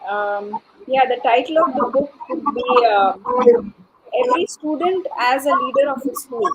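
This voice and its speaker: A woman's voice: sits high, normal speed, crowd noise. A woman panelist giving considered answers in an unscripted discussion. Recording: noisy online call.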